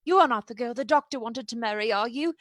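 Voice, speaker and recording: A woman's voice: fairly high pitch, fast talker, fairly bright tone, no echo, studio quality, natural voice. A woman playing an agitated but courteous male asylum patient in a radio play, speaking in a formal, urgent manner. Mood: polite, unsettled.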